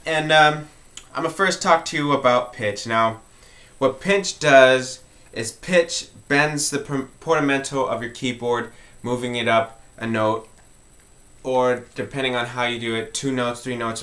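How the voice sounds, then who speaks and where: A man's voice: mid-range voice, fairly even intonation, normal speed, a bit bright. A man talking informally as he hosts an instructional music tutorial.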